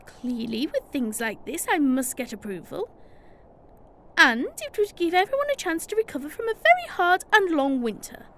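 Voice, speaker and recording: Woman playing a high, swooping, prim spring spirit. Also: big pitch swings. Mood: formal, composed. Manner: formal, measured, officious. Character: Spring, prim orderly female season spirit chairing a meeting. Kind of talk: amateur radio drama. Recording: clear noise with birdsong.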